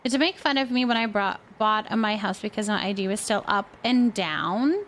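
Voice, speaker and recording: A woman chatting casually while streaming a game, thinking out loud as she talks to her viewers. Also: medium pitch, normal speed, slight background noise. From California, United States. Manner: chatty, thinking aloud to herself. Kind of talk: casual gameplay stream chat.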